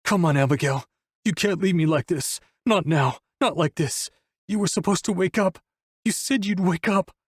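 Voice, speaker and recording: Man acting a desperate, grief-stricken man, voice pitched high. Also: normal speed, breathy edge, no room sound, clean studio sound. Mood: anguished pleading. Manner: screaming, pleading, breaking up with grief. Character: desperate grieving man, pleading with his unconscious lover.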